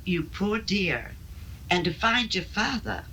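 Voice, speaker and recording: An actress plays a solicitous neighbour lady in a period radio drama. She speaks very slowly in a bright, lowish, theatrical voice, on faintly hissy seventies tape. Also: very animated, full character voice, rough recording. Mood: sympathetic, soothing. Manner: slow, sympathetic, theatrical. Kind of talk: 1970s live radio drama acting.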